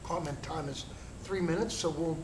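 A man chairing a city council meeting, briskly calling for public comment. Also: on the high side. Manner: brisk, procedural. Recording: echoey chamber with hiss.

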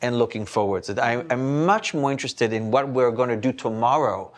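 A middle-aged man from Belgium talks through his ideas as a rabbi on a studio panel. He weighs each point and keeps asking "right?" to bring the others along. His voice sits at an ordinary man's pitch and is picked up close on a lapel mic. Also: fairly quick. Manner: thoughtful, often adds "right?" to his points. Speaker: rabbi and spiritual counselor. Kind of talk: panel discussion. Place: indoor studio set with plants.

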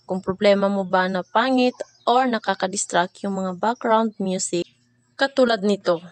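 A woman's voice: medium pitch, normal speed, no echo. A young adult woman with a Filipino accent, giving a casual step-by-step tutorial voice-over and addressing viewers informally.

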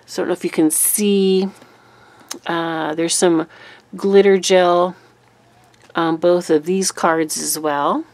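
A woman YouTuber recovering from a cold, her voice deeper than normal, chatting casually through a monthly craft recap at her desk. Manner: chatty, relaxed, with fillers. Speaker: papercraft YouTuber. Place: desk in a room, overhead camera on a cutting mat.

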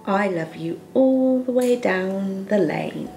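Lady reading as a little storybook boy hare, sweet n earnest, slow pace, wants to prove his love. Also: mid-range voice, lively intonation, gravelly, a little mellow, reverberant, soft background music. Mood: eager, loving. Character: small eager young male hare, loving and sleepy.

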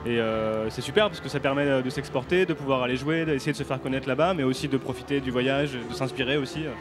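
A man's voice: medium pitch, fast, over music. A man from the band, adding easygoing remarks in a festival radio interview. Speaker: band member. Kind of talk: casual interview answers.

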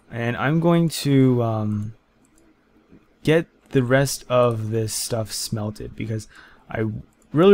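A young man casually commentating his own game session, thinking aloud in halting bursts with lots of fillers and restarts. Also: medium pitch. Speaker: gameplay commentator. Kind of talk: casual let's-play commentary.

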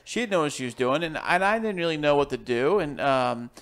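Male co-host, playful and loose, smooth voice. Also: on the high side, fairly bright tone. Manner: jokey, playful, quick to restart words. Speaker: podcast co-host. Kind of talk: casual chat. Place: home studio.

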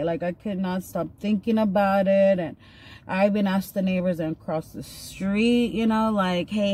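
A woman's voice: medium pitch, dark tone. A woman in her thirties telling a personal story in a chatty, earnest way while doing her makeup, recorded on a phone in a parked car. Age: 30s. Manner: chatty, filler-heavy, earnest. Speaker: vlogger. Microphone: phone camera at arm's length.

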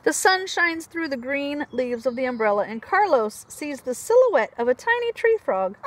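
Preschool teacher lady reading a picture book, high voice, super swoopy and expressive. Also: moderate pace, rough recording. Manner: animated, expressive storytelling for young children. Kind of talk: children's picture book read-aloud with asides.

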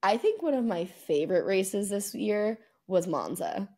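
A young woman co-hosting a podcast, chatting casually and informally about motor racing with lively, slangy phrasing. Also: mid-range voice, measured pace. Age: young adult. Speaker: podcast co-host. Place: indoor room at home, video call.

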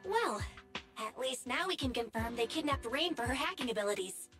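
High, lively young heroine voiced by a woman. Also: brisk, close to an everyday voice. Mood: curious, surprised. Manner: animated, questioning. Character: expressive, curious young game heroine. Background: game music.

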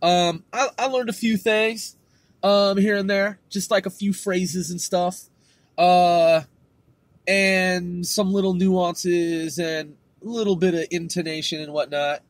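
A man's voice: sits high, steady tone, slow pace, no room sound. A middle-aged man with a West Coast accent, hosting his own podcast in a rambling, slangy, casual monologue. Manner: rambling, laid-back slangy talk with lots of swearing and fillers. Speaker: podcast host.

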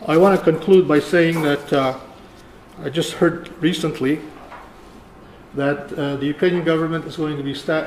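Older guy giving a talk at a lectern, slightly high voice, halting, echoey room. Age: older, about 70. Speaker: keynote speaker, archivist. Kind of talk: conference lecture. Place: lecture room, indoors. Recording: roomy echo, faint room noise.

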